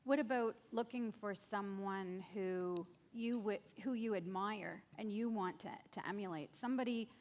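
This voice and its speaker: A woman moderating a conference panel, putting thoughtful questions to the speakers. Her voice sits in a middling pitch, and she often restates the panelists' points before asking the next one. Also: moderate pace, few pauses, darkish tone. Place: conference stage.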